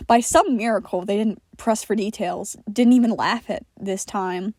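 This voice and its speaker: A woman's voice: mid-range voice, normal speed, darkish tone, no room sound, clean studio sound. A woman reading scripted fiction aloud as the narrator of a story recording. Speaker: podfic narrator.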